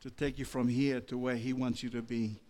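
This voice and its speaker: A man with an Australian accent preaching a sermon as a pastor, moving from low, measured talk to loud, impassioned preaching. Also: little pitch movement.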